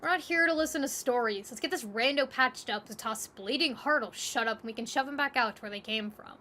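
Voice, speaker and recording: A woman voicing a short-tempered, gruff young man who swears and talks abrasively in an audio drama, over background noise. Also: fairly high pitch, fairly quick. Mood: gruff disdain. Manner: swearing, brash, loud and abrasive. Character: foul-mouthed, short-tempered, gruff young male grump.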